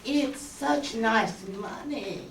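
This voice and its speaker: A woman's voice: on the high side, drawn-out words, gravelly, exaggerated voice. A woman acting a coy, scheming Southern blonde femme fatale, coaxing and sugary, in an old radio noir play. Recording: echoey room, distant mic, background noise.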